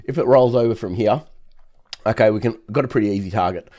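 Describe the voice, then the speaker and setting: A hoarse man who has nearly lost his voice, giving brisk trading chart commentary with many fillers. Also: lowish voice, mellow. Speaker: market analyst host.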